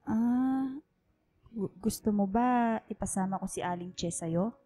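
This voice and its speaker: A woman's voice: mid-range voice, unhurried, dark tone, lightly acted. A woman playing a busy, evasive working mother in a radio drama, hesitant and placating. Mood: distracted, placating.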